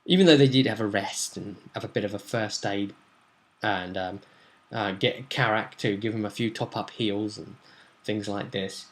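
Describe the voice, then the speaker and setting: A young man casually recapping a tabletop game as its game master, with a theatrical, animated storytelling manner. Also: fairly low pitch, normal speed. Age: young adult. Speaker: game master and vlog host. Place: small room at home, indoors.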